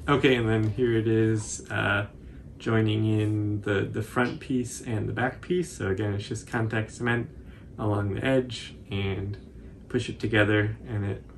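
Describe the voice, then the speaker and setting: Low-voiced young man, creaky and casual. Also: fairly even intonation, faint music behind. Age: 20s. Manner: informal, hesitant, halting explanation. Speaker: cosplay tutorial presenter. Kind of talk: casual tutorial commentary.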